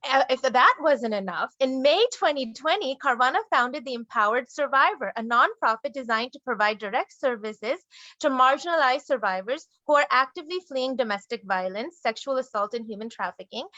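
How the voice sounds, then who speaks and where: High-voiced woman moderating an online panel. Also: bright, forceful tone, dry recording, muffled recording. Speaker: moderator and case management director.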